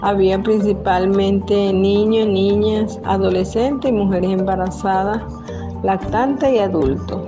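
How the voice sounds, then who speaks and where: An older woman, a retired nurse from the Venezuelan Amazon, calmly narrating her own life story in the first person. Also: mid-range voice, slow. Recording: dull lo-fi voice-over with music. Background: music.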